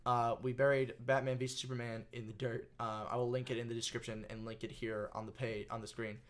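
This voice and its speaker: A young man co-hosting a podcast, telling a story in casual, animated chat with swearing and restarts. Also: mid-range voice, a little fast. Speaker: podcast co-host.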